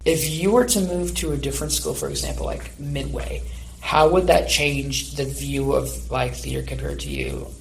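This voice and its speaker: A man's voice: medium pitch, big pitch swings, normal speed, vocal fry, poor audio. A man hosting a conversational podcast interview, asking long, rambling questions. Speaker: podcast host.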